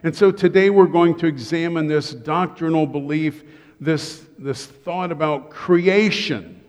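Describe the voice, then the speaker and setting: A man's voice: fairly high pitch, a little slow, a little mellow. A middle-aged man preaching a humorous sermon to his congregation from a church stage, with joking, self-deprecating asides. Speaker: pastor. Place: church sanctuary. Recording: long reverb of a church hall.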